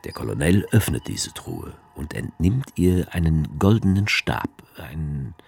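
An actor playing the author figure in a radio play, narrating wryly in the first person. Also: male voice, a bass voice, sing-song, measured pace, creaky, a little mellow, husky, heavily acted.

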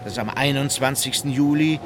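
An actor playing a composed court officer, narrating his memoirs in a measured, formal way for a radio documentary drama. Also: mid-range voice, background noise, close to an everyday voice. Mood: factual.

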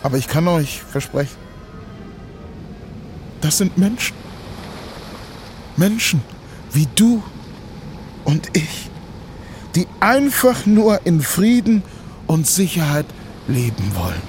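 Impassioned sea rescuer, high-pitched, declamatory. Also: male voice, sing-song, slow pace, pauses often, darkish tone, faint music behind, exaggerated voice. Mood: solemn, impassioned. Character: earnest, impassioned African sea rescuer of migrants. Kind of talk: theatrical stage monologue, satirical revue.

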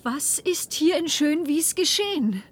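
Bewildered woman, high-pitched, swooping intonation, insistent questioning, radio-play acting. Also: animated, unhurried. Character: urgent, bewildered woman demanding answers. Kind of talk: German science-fiction radio drama acting.

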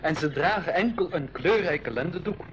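An actor playing an excitable, friendly boy adventurer in a school radio drama. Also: fairly high pitch, normal speed, lo-fi sound, slight background noise. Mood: excited.

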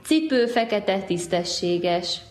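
A woman's voice: medium pitch, lively intonation, darkish tone. A woman giving step-by-step instructions and questions to a patient, in simple, encouraging phrases, as an examiner running a test. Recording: roomy, noisy on-location recording.

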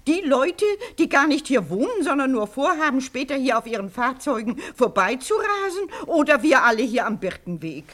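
A woman's voice: high voice, very animated, normal speed, few pauses, fairly bright tone, full character voice. An actress playing a strong-willed, unsentimental older woman in a radio play, speaking precise in an imperious, argumentative manner. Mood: lecturing, insistent. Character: strong-willed, sharp-witted old woman, bossy and unsentimental. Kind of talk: German radio play acting.

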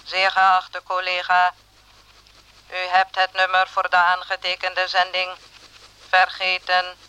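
A man's voice: high voice, slow pace, smooth, no echo, lo-fi sound, full character voice. A man voicing an emotionless bureaucratic computer in a radio drama, reading official notices mechanically. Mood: impersonal, mechanical. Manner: flat, mechanical, impersonal.

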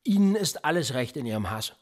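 A needling police interrogator. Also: male voice, mid-range voice, very animated, a little fast, a little mellow. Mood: insinuating. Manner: needling, insinuating questions. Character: menacing male police interrogator, probing and mocking. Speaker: police officer. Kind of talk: radio play acting.

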